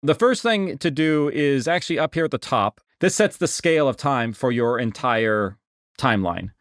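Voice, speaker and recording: A man giving a casual software tutorial as an instructor, explaining things conversationally on a close mic. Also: mid-range voice, expressive, moderate pace, no echo.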